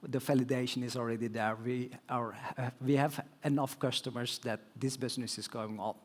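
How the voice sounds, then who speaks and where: A man's voice: mid-range voice, steady tone, fairly quick. A man speaking as a guest on a conference stage panel, answering with frequent fillers, restarts and non-native phrasing. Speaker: telecom executive guest on panel.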